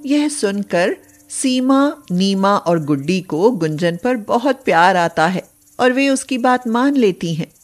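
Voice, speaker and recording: Slow-paced woman storyteller. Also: medium pitch, animated, soft background music. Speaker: storyteller narrator. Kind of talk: scripted moral bedtime story narration.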